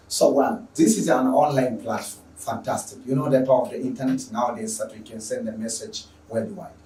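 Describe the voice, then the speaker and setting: A man's voice: mid-range voice, mellow, a little hiss or hum. Echoey, distant Tanzanian male teacher. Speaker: online secondary school mathematics teacher. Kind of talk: spoken lesson introduction to viewers. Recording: echoey room, distant microphone.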